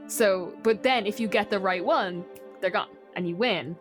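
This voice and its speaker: Female Irish co-host, mid-pitched, casual and rambling, light music bed behind. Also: normal speed. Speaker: player and co-host on a show. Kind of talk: casual chat.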